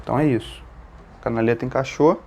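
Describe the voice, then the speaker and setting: A man's voice: mid-range voice, fairly even intonation, fast. A man with a Brazilian accent giving an informal step-by-step gear tutorial, explaining as he works and often checking in with short tag questions. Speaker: motorcycle gear tutorial presenter. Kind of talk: informal step-by-step hands-on instruction.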